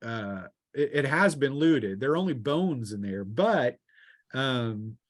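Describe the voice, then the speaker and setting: A man running a tabletop fantasy game as game master, improvising narration and often pausing mid-sentence. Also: mid-range voice, expressive, dry recording, clean studio sound.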